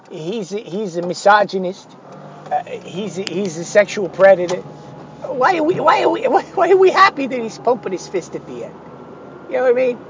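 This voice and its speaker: A man with a hoarse, failing voice talking through an art lesson, digressive and hesitant with many fillers and sardonic asides. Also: high-pitched, lo-fi sound, a little hiss or hum. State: hoarse, losing his voice. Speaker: art instructor. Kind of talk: rambling spoken art lesson.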